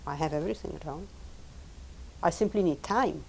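Low, gravelly woman, Lithuanian native speaker. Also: slight background noise. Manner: thoughtful, measured, repeats words while thinking. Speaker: professor and translator. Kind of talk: recorded oral history interview.

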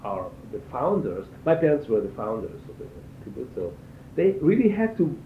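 An older man from Israel giving reflective interview answers, haltingly, repeating phrases as he searches for words. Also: big pitch swings. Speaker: interviewee, cello teacher and performer. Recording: echoey, tinny band-limited sound with faint rumble.

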